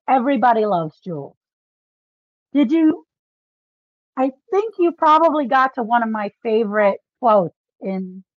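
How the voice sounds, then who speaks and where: High-voiced woman chatting about books. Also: no echo. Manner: explanatory, enthusiastic fan. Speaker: podcast co-host. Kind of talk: casual book discussion. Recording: slightly dull, dry recording.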